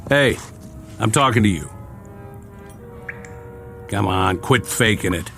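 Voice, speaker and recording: An actor playing a weary, sardonic soldier in a radio drama, gruff but not cruel, with a wry, bossy, colloquial way of talking. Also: male voice, fairly low pitch, slow pace, sharp tone, full character voice. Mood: wry, grumbling. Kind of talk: dramatic radio acting, often talking to himself. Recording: studio radio drama with music behind.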